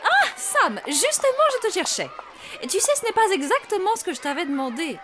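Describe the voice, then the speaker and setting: A woman voicing an encouraging schoolteacher in a French animated cartoon, articulate and effusive. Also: very high-pitched, moderate pace, noisy background. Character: encouraging schoolteacher, praising and gently demanding. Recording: music under the voice.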